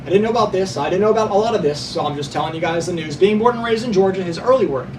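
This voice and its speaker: A man's voice: high voice, racing. A young male podcast host reading prepared news notes, sometimes stumbling and correcting himself, with casual asides to listeners. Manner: reads from notes, stumbles and corrects himself, stresses being unbiased. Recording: distant mic with clear background noise.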